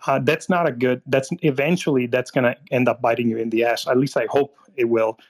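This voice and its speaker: A man from Italy calling in to a live audio room, talking in a halting way with many fillers and restarts. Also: mid-range voice, steady tone. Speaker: caller. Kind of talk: casual political discussion.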